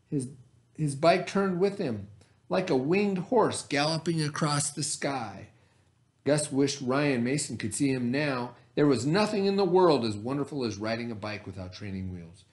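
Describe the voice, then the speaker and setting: Man, teacher addressing children, expressive, encouraging, dramatic pauses, widely varied pitch. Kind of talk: expressive read-aloud for children.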